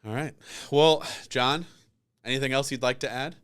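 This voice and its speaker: A man's voice: mid-range voice, steady tone, normal speed, a bit bright, clean tone. A man hosting a podcast, chatting in a casual, rambling, conversational interview style. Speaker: podcast host.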